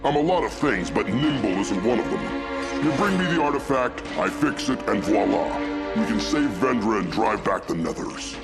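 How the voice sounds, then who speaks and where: An actor playing a big alien outlaw in a video game, a devoted brother who talks in a blunt, weary, earnest way. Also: male voice, lowish voice, animated, normal speed. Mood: dry, persuasive. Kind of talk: dramatic game cutscene acting. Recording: loud music over the voice.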